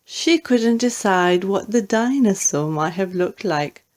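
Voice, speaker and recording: A woman narrating a children's storybook in an expressive, deliberate way. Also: medium pitch. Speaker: audiobook narrator.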